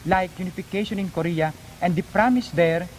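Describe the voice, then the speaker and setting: Male panel debater, Filipino accent, high pitch, declamatory delivery, slightly noisy vintage recording. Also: fairly quick. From Philippines. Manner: declamatory, articulate. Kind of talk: formal debate, oratorical. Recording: noisy 1950s archive broadcast recording.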